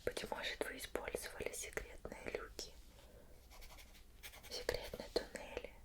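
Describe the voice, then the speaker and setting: A woman playing a patient but suspicious investigator in an ASMR roleplay, whispering slow, probing questions with pauses. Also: close to an everyday voice. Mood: calm probing.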